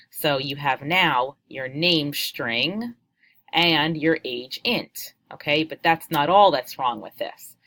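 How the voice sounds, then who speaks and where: A woman's voice: low voice, fairly bright tone. A woman in her forties, a teacher walking students through a coding tutorial patiently and step by step, upbeat. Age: 40s. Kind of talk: screen-share coding tutorial.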